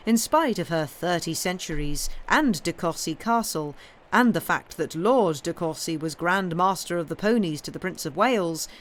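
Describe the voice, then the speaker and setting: A woman narrating a Victorian novel as an audiobook reader, with expressive, measured storytelling and emphatic phrasing. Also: on the low side. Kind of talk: literary narration of a Victorian novel, reading aloud.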